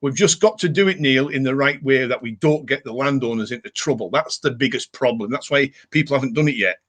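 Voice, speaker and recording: A man's voice: medium pitch, a little fast, fairly bright tone. A man with a Cumbrian accent casually hosting a live show, chatting and answering viewer comments in a rambling way. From Cumbria, England. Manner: rambling, chatty, repeats catchphrases. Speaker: livestream host.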